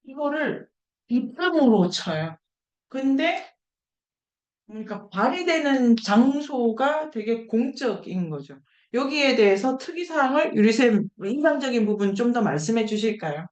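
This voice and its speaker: A woman's voice: on the high side, very animated, measured pace, a little mellow, echoey room. A woman lecturing informally to a seminar group, thinking aloud, posing questions and repeating key words for emphasis. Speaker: lecturer leading an online book seminar.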